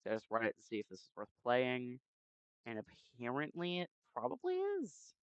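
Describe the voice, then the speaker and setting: Male game streamer, casual halting chat, animated mid-range voice, dull lo-fi headset recording. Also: mid-range voice, clean tone, no room sound. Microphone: new headset microphone.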